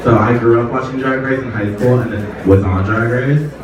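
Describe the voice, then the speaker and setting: A man's voice: medium pitch, steady tone, normal speed, noisy background. A man on a panel at a club, speaking bluntly and brashly with heavy swearing. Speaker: panel guest. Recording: echoey hall from far off, loud crowd.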